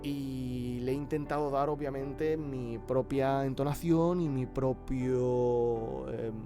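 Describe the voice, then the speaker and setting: A man from Spain with a Castilian accent talking reflectively in an interview, pausing to search for words. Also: medium pitch, steady tone, over music. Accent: Spanish (Spain). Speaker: amateur voice actor.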